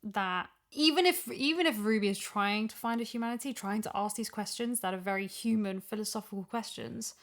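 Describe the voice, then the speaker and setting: A woman's voice: mid-range voice, brisk, few pauses. Reflective woman in casual discussion. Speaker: voice actor discussing her character.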